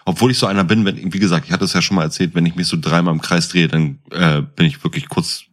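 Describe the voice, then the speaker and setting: Deep-voiced middle-aged man chatting on a podcast. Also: fairly even intonation, fast. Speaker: podcast co-host. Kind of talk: casual podcast chat.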